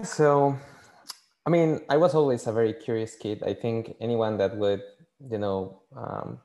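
A man from Mexico City, a native Spanish speaker with a Mexican accent, answering interview questions casually and haltingly, often repeating words. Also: on the low side, creaky, a little mellow. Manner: halting, repeats words, many "you know" fillers. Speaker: podcast guest, scientist and engineer.

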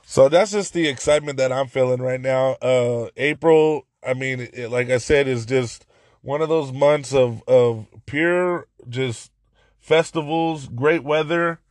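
A man hosting a casual podcast, his voice hoarse and raspy from yelling, talking excitedly and rambling with many restarts. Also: mid-range voice, measured pace, dry recording, studio quality. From Central California. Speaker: podcast host. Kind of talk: casual solo podcast talk.

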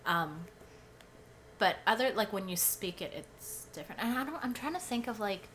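A woman's voice: mid-range voice, expressive, normal speed, a bit bright, a little hiss or hum. A woman co-hosting a podcast from home, chatting casually, laughing often and filling with "like". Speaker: podcast co-host. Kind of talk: casual chat.

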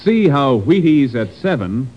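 A man's voice: mid-range voice, sing-song, unhurried. A man with an American accent doing scripted announcing for a 1950s radio show. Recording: dull old radio recording.

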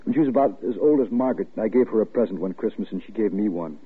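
A man's voice: fairly low pitch, lively intonation, racing, breathy edge. An actor playing an easygoing, indulgent but dismissive family father in a folksy, paternal way, in vintage radio style. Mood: fond, relaxed. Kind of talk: 1940s radio acting. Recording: muffled, tinny old radio recording.

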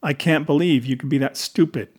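A man's voice: fairly high pitch, brisk, breathy edge. A man voicing a hot-tempered, blustering police lieutenant who barks and sputters in an audiobook. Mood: angry, accusing. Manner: barking, sputtering when flustered.

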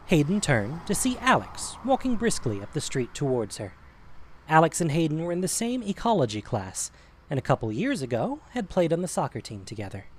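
A man's voice: on the high side, wildly swooping pitch, a little fast, a little hiss or hum. A man narrating a scripted audio drama as a storyteller.

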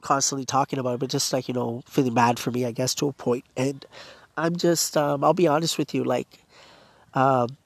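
Podcast host, male, congested and losing his voice, gravelly, dry close recording, rambling earnest delivery. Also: mid-range voice, fairly even intonation, a little fast, no room sound. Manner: rambling, filler-heavy, earnest. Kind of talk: casual personal monologue.

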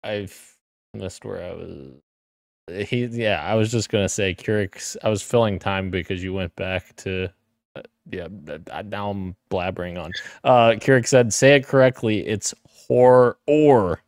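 A man's voice: on the low side, a little slow, gravelly, no room sound, studio quality. A man co-hosting a casual podcast, talking with enthusiasm and plenty of fillers. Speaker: podcast co-host.

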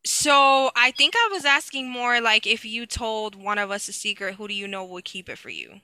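A woman in her thirties hosting a casual family chat over a video call, hesitant with fillers and quick to laugh. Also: fairly high pitch, expressive, sharp tone, clear, resonant tone, no echo. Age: 30s. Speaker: host asking family questions.

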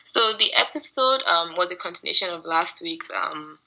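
Bright, husky-voiced woman podcaster, a bit sleepy. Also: fairly low pitch, expressive, normal speed, highs cut off. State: tired, sleepy. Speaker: podcast co-host. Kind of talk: casual chat recapping TV show.

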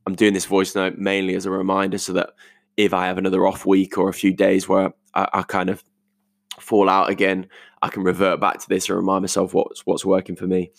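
A young British man with a croaky voice recording a casual, reflective voice note at home, rambling informally as he thinks aloud. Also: deep voice, completely flat delivery, brisk, no echo, studio quality. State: croaky voice today. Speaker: podcast host. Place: multi-purpose living room at home.

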